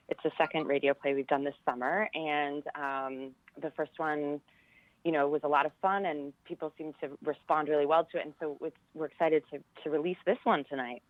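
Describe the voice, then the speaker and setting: A woman's voice: sits low, brisk, no room sound, phone-quality sound. An American woman in her forties chatting casually and telling stories as an interview guest. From California, United States. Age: 40s. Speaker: interview guest, theater professor and director. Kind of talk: casual chat, personal storytelling.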